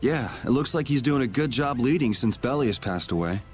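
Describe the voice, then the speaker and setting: A man's voice: medium pitch, animated, brisk, faint music behind, lightly acted. A man voicing a casual but resolute young swordsman who leads his group in a video game. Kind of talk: English video game voice acting.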